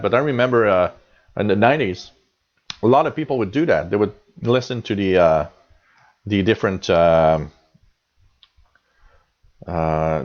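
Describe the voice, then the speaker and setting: A man's voice: lowish voice, muffled recording. A Canadian man in his forties hosting a live radio show, chatting casually and reading out chat messages with lots of fillers and restarts.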